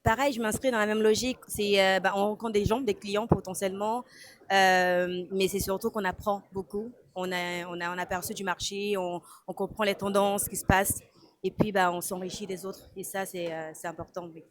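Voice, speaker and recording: A woman of Ivorian origin answers interview questions calmly, with a few fillers. Her voice is of medium pitch. She speaks at a trade fair. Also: normal speed. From Côte d'Ivoire. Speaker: exporter, interview guest. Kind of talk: casual spoken interview. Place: busy trade fair hall.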